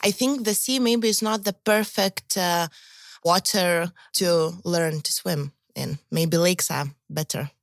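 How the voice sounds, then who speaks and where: Young Lithuanian actress as guest, non-native English, slightly low, dry close sound, reflective. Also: female voice, unhurried, creaky, no echo. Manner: thoughtful, searching for words in English. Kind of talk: interview conversation.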